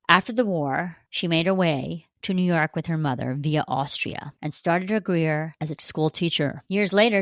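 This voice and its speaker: An American woman hosting a podcast, reading a scripted introduction and then gently questioning her guest. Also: fairly low pitch, no echo. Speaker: podcast host.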